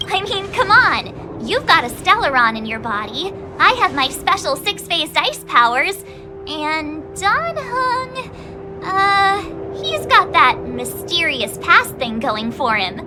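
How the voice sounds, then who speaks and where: A woman's voice: sits high, very animated, slow pace, full character voice. A woman playing a bubbly, teasing teenage girl adventurer with ice powers in an anime game. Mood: confident, upbeat. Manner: chirpy, teasing, emphatic. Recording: music behind the voice.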